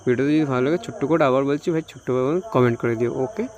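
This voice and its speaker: A man's voice: mid-range voice, very fast, darkish tone, lo-fi sound. A man from India with an Indian Bengali accent gives an informal phone tutorial, repeating himself and often tagging phrases with a checking question. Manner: repetitive, frequently tags phrases with a checking question. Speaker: tech tutorial YouTuber.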